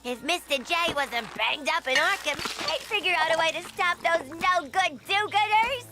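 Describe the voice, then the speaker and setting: Very high, bouncy clown villainess. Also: female voice, lively intonation, moderate pace. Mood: indignant, dejected. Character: zany, bratty young female clown supervillain. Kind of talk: animated video game cutscene acting. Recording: loud game music underneath.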